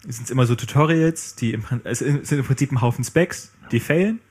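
A man's voice: medium pitch, brisk, darkish tone, slightly rough. A man in casual conversation about programming, rambling and playful with many fillers. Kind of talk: casual tech chat.

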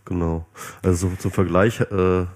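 A man's voice: deep voice, little pitch movement, moderate pace, a little mellow. A man hosting a podcast, interviewing casually, hesitant with lots of restarts and joking asides. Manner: hesitant, many fillers and restarts, jokey. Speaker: podcast host.

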